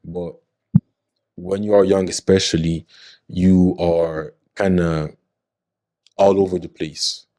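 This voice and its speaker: Male guest, 20s, deep mellow voice, earnest motivational delivery, dry close sound. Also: flat delivery, takes it slowly, pauses often, dry recording. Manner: earnest, motivational, often checks in with "you know what I mean". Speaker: podcast guest. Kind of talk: casual podcast conversation.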